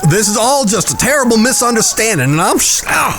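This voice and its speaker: A man playing a cornered crooked gambling boss in a western audio drama, gravelly, blustering and in pain. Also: high voice, very animated, brisk, over music. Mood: pleading. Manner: blustering, pleading, pained. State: in pain, groaning.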